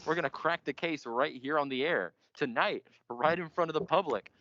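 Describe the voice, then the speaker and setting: A young man playing a grandiose, obsessive radio announcer, theatrical and boastful in a high school radio play. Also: moderate pace, sharp tone, dry recording. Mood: fervent, grandiose. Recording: dull lo-fi recording.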